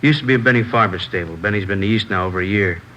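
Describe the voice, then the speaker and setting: An easygoing boxing gym boss played by a man rambles helpfully in a fairly low voice. He hesitates and drops words in a folksy way, as a character in a vintage radio drama with clear background noise. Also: fast, lightly acted. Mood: recollecting. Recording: noisy vintage radio recording.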